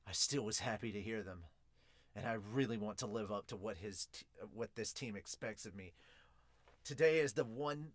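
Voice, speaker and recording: A man's voice: lowish voice, moderate pace, dry recording, pitched below the performer's own voice, natural voice. A man voicing an earnest, determined young male baseball player in a solemn amateur manga dub. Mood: earnest resolve.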